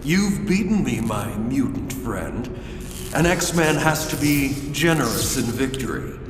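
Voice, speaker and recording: A man's voice: medium pitch, sing-song, measured pace. An actor playing a sly, manipulative male supervillain with a formal, mocking manner in a video game. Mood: slyly conceding. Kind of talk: video game cutscene acting. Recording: distant, echoey hall under loud music.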